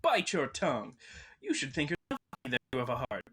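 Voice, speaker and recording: A woman's voice: rumbling low, wildly swooping pitch, fairly quick, a bit bright, husky, exaggerated voice. A woman playing a tender, polite tin woodman in a live table read, sensitive in manner. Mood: matter-of-fact. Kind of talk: live table read of a children's fantasy story.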